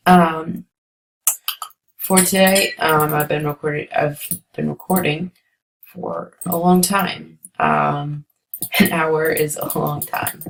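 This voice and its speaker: A woman giving chatty, rambling commentary while playing a building game, her voice going hoarse. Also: low voice, slight background noise, low-quality recording. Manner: chatty, rambling, many ums. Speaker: let's play creator. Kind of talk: casual gameplay commentary.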